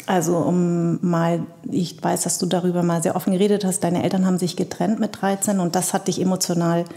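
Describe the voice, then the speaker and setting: A woman's voice: fairly low pitch, little pitch movement, fairly quick, warm tone. A woman in her forties from Germany with a light Hessian accent, hosting an interview podcast and asking questions thoughtfully. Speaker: podcast host. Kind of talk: scripted intro, then interview.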